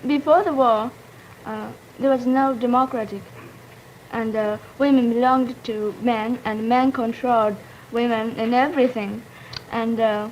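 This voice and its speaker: A woman's voice: on the high side, steady tone, measured pace, dull audio, slight background noise. A young woman from Japan whose first language is Japanese, a student debater carefully searching for English words. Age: young adult.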